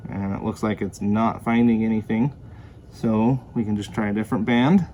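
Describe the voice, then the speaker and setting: A man's voice: fairly low pitch, steady tone, moderate pace, dark tone. Man demoing a product, some background noise. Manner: plain, explanatory. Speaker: product reviewer. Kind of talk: casual hands-on product demonstration.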